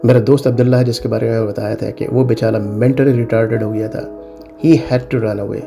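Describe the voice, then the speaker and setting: A man's voice: medium pitch, steady tone, moderate pace, vocal fry, over music. A middle-aged man with a Pakistani accent gives informal advice to viewers, emphatic and repeating his warnings, mixing in English phrases. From South Asia (Pakistan). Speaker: cyber security consultant and advice video host. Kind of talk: informal advisory talk to viewers.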